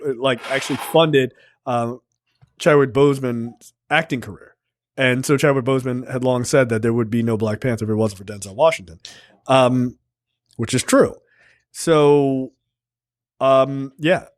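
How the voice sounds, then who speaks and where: A man co-hosting a pop culture podcast in casual, profane chat, getting excited and laughing often. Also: mid-range voice, no echo, clean studio sound. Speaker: podcast co-host.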